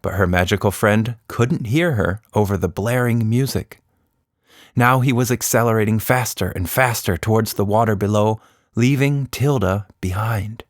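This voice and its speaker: A man's voice: fairly low pitch, normal speed, no room sound, studio quality. A man narrating a children's story as the host of a story podcast.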